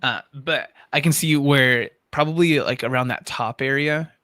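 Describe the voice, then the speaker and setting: A man's voice: medium pitch, normal speed, pushed and bright, no echo, clean studio sound. A man hosting a livestream in casual chat, putting conversational questions on behalf of the audience. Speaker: livestream host and moderator.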